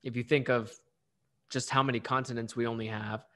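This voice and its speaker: A podcast host in his late twenties, a man who speaks in a friendly, reflective way. His voice sits at an ordinary middle pitch, and his tone stays casual and conversational. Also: level tone, a little fast, sharp tone. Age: 20s. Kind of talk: conversational interview.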